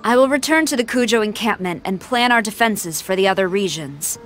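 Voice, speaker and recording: An actress playing a formal, dutiful female samurai general in a video game, measured and authoritative. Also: mid-range voice, expressive, faint music behind, close to an everyday voice. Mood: firm.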